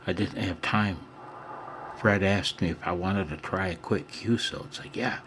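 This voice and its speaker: A man's voice: low-pitched, steady tone, measured pace, a bit bright, a little hiss or hum. A man talking casually to the camera in a salty, jokey, exclamatory way as an amateur radio operator, recorded on a handheld phone. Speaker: amateur radio operator filming his own contact. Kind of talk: casual excited talk to the camera.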